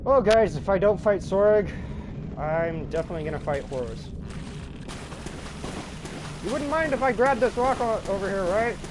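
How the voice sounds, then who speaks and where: A man's voice: high-pitched, expressive, normal speed, long pauses, background noise. A man giving casual, playful gameplay commentary, poking fun at himself and often exclaiming. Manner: playful, self-mocking, exclamatory. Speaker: video game streamer or let's player.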